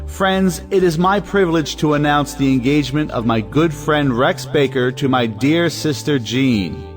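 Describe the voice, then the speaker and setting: Man as self-important host, audio play, slightly high voice, formal, oratorical, pompous, clear background music. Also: lively intonation, moderate pace, natural voice. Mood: formal, proud. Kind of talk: theatrical toast-making.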